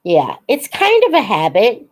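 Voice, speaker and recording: A woman hosting a casual live chat, unhurried and thinking aloud as she talks to her viewers. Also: on the high side, huge pitch swings. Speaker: host of a live book Q&A, teacher-like reader.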